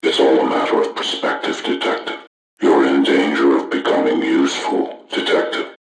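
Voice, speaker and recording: An older killer mastermind for a horror game, with a rock-bottom, gravelly voice. He moralizes and taunts calmly, every word slow and ominous. The sound is filtered like a tape playback, echoing and set back from the microphone. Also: extremely low pitch, over loud music. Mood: cold, accusing. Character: menacing older male serial killer mastermind, calm and moralizing. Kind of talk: scripted villain monologue for a horror game. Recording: roomy, distant, radio-like playback.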